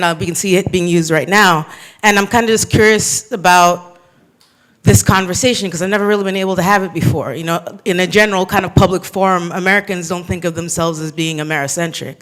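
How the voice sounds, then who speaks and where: A man's voice: sits high, a bit bright. A man from Kenya asking a long, hesitant question from the audience at a bookstore talk, with many fillers and restarts. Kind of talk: public Q&A question. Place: bookstore event room.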